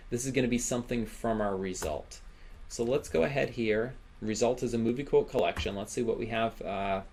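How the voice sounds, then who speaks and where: A man giving a software tutorial as an instructor, thinking aloud casually and checking in with "right?". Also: lowish voice, creaky, a little hiss or hum. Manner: casual, informal explaining, often ends phrases with "right?".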